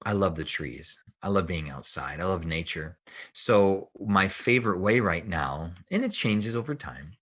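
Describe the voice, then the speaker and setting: A man's voice: low voice, fairly bright tone. A man speaking as an expert guest in a podcast interview, explaining things with emphasis and repeated phrases. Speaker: guest health researcher and author.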